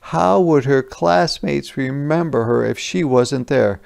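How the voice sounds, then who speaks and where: A man reading a picture book aloud as a teacher, pausing to explain words simply in a deliberate storytelling manner. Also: medium pitch, vocal fry, a little mellow. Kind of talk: interactive children's read-aloud with explanations.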